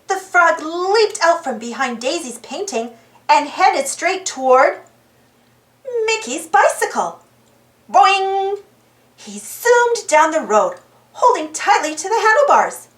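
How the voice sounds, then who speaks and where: A woman reading a children's storybook aloud as narrator in an animated style. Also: very high-pitched, slow pace, roomy sound.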